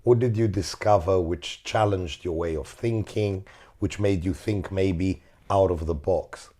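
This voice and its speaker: A man hosting a casual interview, hoarse from illness, asking gentle, encouraging questions. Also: low voice, unhurried. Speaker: interview host.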